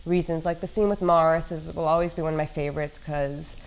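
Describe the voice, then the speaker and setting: A woman actress talking casually in an interview, animated and full of fillers. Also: sits low, brisk, creaky, slight background noise.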